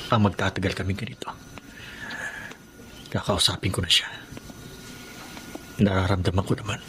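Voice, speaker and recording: An actor playing a guilty, concerned husband in a Filipino melodrama, earnest and measured. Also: male voice, lowish voice, background noise, natural voice. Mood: resigned. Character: guilty, concerned adult husband trying to make peace. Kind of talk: Filipino radio-style melodrama acting.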